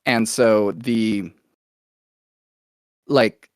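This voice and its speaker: A man's voice: fairly low pitch, fairly even intonation, very slow, dry recording. Casual American man, very dry close sound. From Oklahoma, United States, born in Venezuela. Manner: rambling, thinking out loud. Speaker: podcast guest, musician and producer. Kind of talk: casual conversational interview, telling own story.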